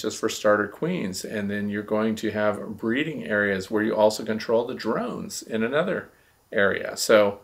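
Older american guy in his sixties hosting a q&a, lowish voice w lots of gravel, just explaining stuff. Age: 60s. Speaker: beekeeper host of a Q&A show. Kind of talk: casual explanatory talk to camera. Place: small indoor room, seated at a desk.

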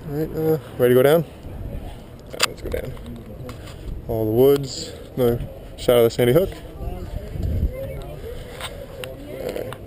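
Casual male tourist, terse offhand sightseeing comments, mid-pitched, body-cam sound with loud noise. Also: measured pace, long pauses. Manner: offhand, pointing out sights in short remarks. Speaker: tourist filming with a wearable camera. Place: inside and atop a lighthouse tower. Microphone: body-worn action camera.

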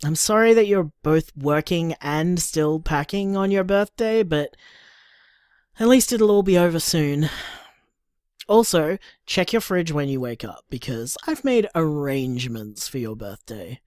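Earnest young Australian boyfriend, close dry sound. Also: male voice, a little high, lively intonation, unhurried, darkish tone, clear, resonant tone, no echo. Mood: tender. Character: affectionate young boyfriend, earnest and gently rambling. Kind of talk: audio drama played as voicemail messages.

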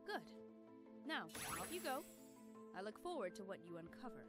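A woman's voice: mid-range voice, big pitch swings, normal speed, over loud music, natural voice. A woman voicing a villainous pony mother in a cartoon, scheming, mocking and theatrically domineering. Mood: commanding.

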